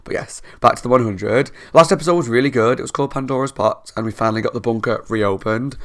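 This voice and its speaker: A man's voice: fairly low pitch, vocal fry, fairly bright tone. A man with a bunged-up nose from a cold, hosting a reaction channel in casual, chatty commentary. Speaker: host of a TV show reaction channel.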